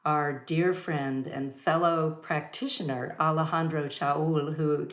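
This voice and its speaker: Host: woman, very low voice, slow and halting with fillers, echoey room. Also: fairly even intonation, slight background noise. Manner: warm introductions with many fillers. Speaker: host of a yoga studio talk. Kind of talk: hosting an online talk.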